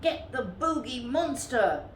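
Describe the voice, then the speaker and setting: A tiny but fierce and bossy brown bear from a storybook, acted by a woman. It shouts battle commands in a raised voice, in an echoey room. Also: sits high, lively intonation, slow pace, voice pushed up. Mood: fierce, commanding. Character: littlest brown bear, fierce bossy young soldier type. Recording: roomy echo with faint background noise.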